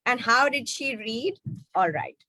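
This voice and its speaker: A woman telling a story to children in an expressive, questioning way. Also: on the high side, measured pace, poor audio. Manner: expressive, asks rhetorical questions. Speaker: storyteller at an online children's book reading.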